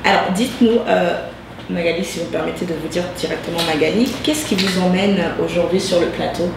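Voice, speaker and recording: Softly pitched woman host, distant echo. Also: brisk, gravelly. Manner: hesitant, many fillers and restarts. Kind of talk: web TV interview. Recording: echoey, distant mic with hum.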